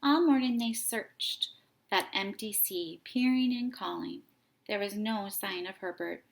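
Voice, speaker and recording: A woman reading a children's picture book aloud, with dramatic, deliberate phrasing as a storytime host. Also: mid-range voice, vocal fry. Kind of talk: expressive children's story narration.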